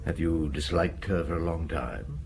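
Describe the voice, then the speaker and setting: An actor playing a patient, terse middle-aged police inspector questioning a witness in a radio drama. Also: male voice, rumbling low, moderate pace, gravelly, background noise. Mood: probing. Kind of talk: radio play acting.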